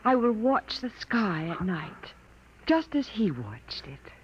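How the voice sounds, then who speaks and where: A slow, wistful artificial woman. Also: mid-range voice, very animated, a little hiss or hum. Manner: slow, soft-spoken, wistful. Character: gentle, wistful artificial woman discovering feeling. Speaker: radio drama actor. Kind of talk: 1950s radio drama acting. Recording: muffled vintage 1950s radio recording.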